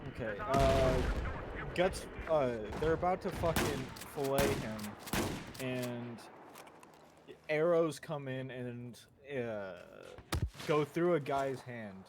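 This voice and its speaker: Slow-talking man rambling through a story. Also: medium pitch, noisy background. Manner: halting, full of fillers, restarts and swearing. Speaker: storyteller summarizing a manga. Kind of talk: casual rambling story recap. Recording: loud video game gunfire behind voice. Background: game gunfire and explosions.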